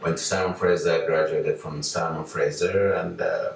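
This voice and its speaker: An older man from Nigeria, a guest on an interview show, telling his life story slowly with many pauses and fillers. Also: deep voice, level tone, creaky, very echoey, far from the mic, faint music behind. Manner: slow, hesitant with many fillers. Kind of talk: relaxed reflective storytelling.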